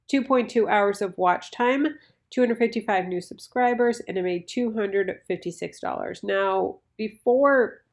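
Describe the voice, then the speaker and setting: Woman, mid-pitched voice, slightly raspy and nasal, congested with flu, casual explanatory vlog delivery. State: sick with flu and bronchitis. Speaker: YouTuber reviewing her online business income.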